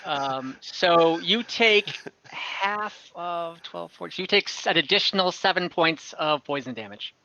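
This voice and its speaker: A man's voice: fairly high pitch, animated, measured pace, pushed and bright, no room sound, dull audio. A man acting as game master of an online tabletop game, explaining rules with many hesitations and fillers. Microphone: computer mic on a video call.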